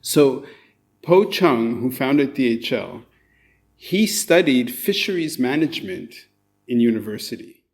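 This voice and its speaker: A man's voice: mid-range voice, very animated, measured pace. A man who grew up in Canada, hosting a podcast in a chatty, enthusiastic way with many fillers. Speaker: podcast host. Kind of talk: casual interview chat.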